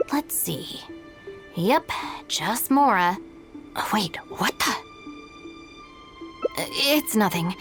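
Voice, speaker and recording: A woman's voice: lowish voice, a bit bright. A woman voicing a guarded, pragmatic young woman in a video game, speaking in a dry, matter-of-fact way. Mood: dry, puzzled. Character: young woman, guarded, pragmatic, no-nonsense masked gang deputy. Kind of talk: video game character acting. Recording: background music under the voice. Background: music.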